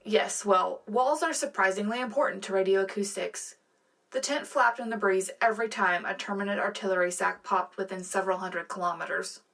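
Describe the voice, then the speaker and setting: An actress playing a resourceful radio correspondent, articulate and dryly witty in scripted sci-fi banter. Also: female voice, mid-range voice, a little fast, vocal fry, a bit bright. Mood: wry. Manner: wry, articulate. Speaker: radio co-host.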